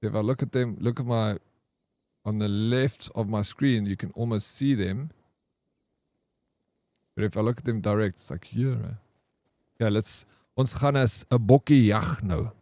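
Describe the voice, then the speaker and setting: A young man with a Johannesburg South African accent, live-streaming a game and chatting excitedly with his chat in slangy, casual talk. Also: fairly low pitch, unhurried, pauses often, no room sound.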